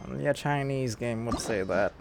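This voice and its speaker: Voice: medium pitch, normal speed, creaky, music in the background. A game streamer giving casual playthrough commentary in muttered, offhand reactions.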